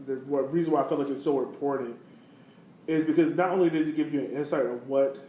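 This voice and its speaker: A man's voice: on the high side, fast, warm tone. A man hosting a soccer podcast in casual conversation, often dropping in "you know" as he talks. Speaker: podcast host. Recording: roomy echo, clear background noise.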